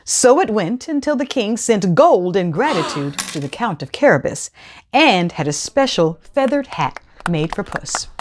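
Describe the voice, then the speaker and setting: A woman hosting a children's read-aloud, speaking in a deliberate, clearly phrased storytelling style. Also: lowish voice.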